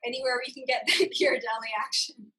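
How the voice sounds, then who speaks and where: A woman talk show host with a high, bright voice that has a husky edge. She chats casually and effusively, as in an informal interview over video. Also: fairly even intonation, fast.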